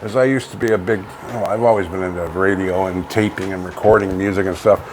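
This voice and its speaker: A man's voice: sits low, steady tone, fast. A middle-aged or older Canadian man co-hosting a casual local radio show, talking in an emphatic, folksy, conversational way. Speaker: co-host of a local online radio vlog. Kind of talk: casual local chat and hockey commentary. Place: home studio. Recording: noisy home studio with background ambience.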